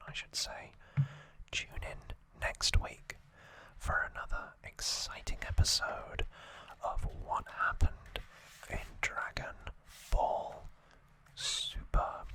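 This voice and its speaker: An ASMR host speaking in a gentle, halting, unvoiced whisper throughout. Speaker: host of an anime trivia channel.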